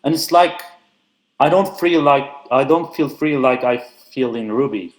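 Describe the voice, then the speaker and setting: Young man, mid-pitched and loose, talking shop over an echoey remote feed. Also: measured pace. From Turkey. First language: Turkish. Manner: frequent "uh" fillers, loose and chatty. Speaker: conference speaker. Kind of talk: casual technical talk. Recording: echoey remote call audio.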